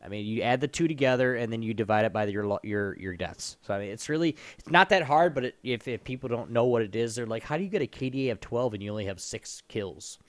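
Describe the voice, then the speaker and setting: A man co-hosts a gaming stream in a mid-pitched voice with a dry sound free of room echo. He talks casually about esports, swearing and often repeating himself. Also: animated, quick pace, few pauses.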